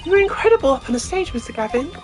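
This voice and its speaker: Woman putting on a high-pitched, gushing teenage girl fan. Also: sing-song, very fast. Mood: excited. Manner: gushing, exclamatory. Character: excitable, starry-eyed teenage girl music fan. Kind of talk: amateur video game character voicing. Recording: game music behind the voice.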